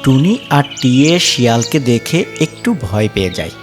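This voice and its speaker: A narrator reading a scripted children's cartoon story over loud music. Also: mid-range voice, big pitch swings, normal speed.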